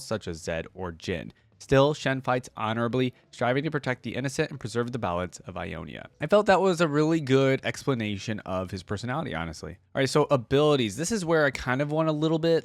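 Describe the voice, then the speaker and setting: A man hosting a reaction video, reading text aloud and commenting casually as he thinks out loud to his viewers. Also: medium pitch, no echo.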